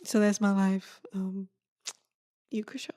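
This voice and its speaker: A woman's voice: mid-range voice, little pitch movement, warm tone. Woman, 20s, from Texas, casual podcast co-host, laid-back chatter. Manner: laid-back, laughs often, drawn-out fillers. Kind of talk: casual chat.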